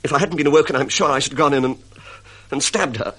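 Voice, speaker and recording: A man's voice: fairly high pitch, fast, a bit bright. An actor with a British accent playing a polite, anxious architect in his thirties, earnest and hesitant, in a vintage radio drama. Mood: troubled, fearful.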